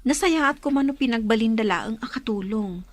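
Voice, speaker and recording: An actress playing a concerned younger sister in conversation, with a Filipino accent, in a radio drama. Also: female voice, a little high, expressive, normal speed, dry recording.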